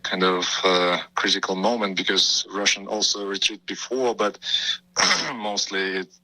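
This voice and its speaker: A man from Ukraine with a Ukrainian accent, speaking haltingly in a news interview. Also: sits low, pushed and bright, dry recording, rough recording. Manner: halting, hesitant phrasing.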